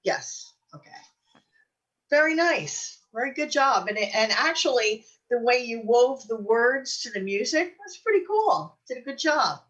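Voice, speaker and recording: Woman, high voice, slow pace, casual host chat. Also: sing-song, a bit bright, recorded from a distance, muffled recording.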